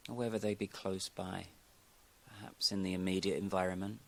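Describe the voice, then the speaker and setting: A man speaking as a yoga nidra teacher, giving calm, unhurried guided meditation instructions with long pauses. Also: sits low, vocal fry.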